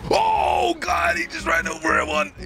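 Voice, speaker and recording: An excitable man giving giggly gameplay roleplay commentary, often repeating himself. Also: extremely high pitch, a little slow, gravelly, shouting, faint music behind. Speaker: gaming video creator.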